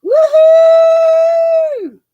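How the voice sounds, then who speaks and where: An older woman with a raspy voice, chatting folksily with her livestream viewers while she sews. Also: extremely high pitch, fairly even intonation, very slow, warm tone, no room sound, raised voice, dull audio. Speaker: knitting livestream host. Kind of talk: casual live chat while sewing.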